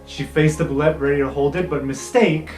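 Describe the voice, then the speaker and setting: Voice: mid-range voice, steady tone, fairly quick, a little mellow. Game master narrating in an echoey room. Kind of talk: improvised tabletop game narration with casual banter. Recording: echoey room with music behind.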